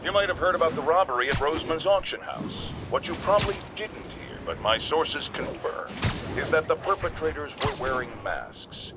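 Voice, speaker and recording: A blustering, ranting radio host. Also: male voice, fairly high pitch, very animated, moderate pace. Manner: ranting, pompous. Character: blustering middle-aged male radio host. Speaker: radio talk show host. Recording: game audio with loud action noise.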